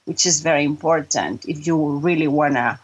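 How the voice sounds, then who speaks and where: Woman, Spanish speaker, husky, breathy edge, earnest interview answers. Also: low voice. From Mexico. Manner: earnest, restarts often, halting then quick. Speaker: guest, voiceover artist and marketing consultant. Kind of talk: interview conversation.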